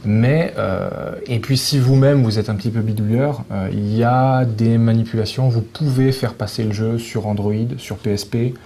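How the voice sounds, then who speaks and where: A man giving a casual interview as a guest, speaking in a hesitant, halting way and often restarting his phrases. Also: mid-range voice, steady tone, mellow. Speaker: video game translator, interview guest. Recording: clearly noisy background ambience.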